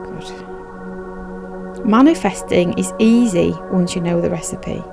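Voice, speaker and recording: A woman narrating a guided meditation in a soothing, measured, instructive manner. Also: fairly low pitch, creaky. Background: background music.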